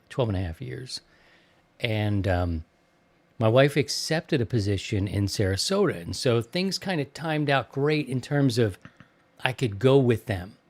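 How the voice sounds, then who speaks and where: A man's voice: mid-range voice, a little mellow. A man in his early fifties hosting a hobby radio show in a casual, candid and chatty way. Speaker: host of a ham radio show. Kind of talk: casual podcast chat.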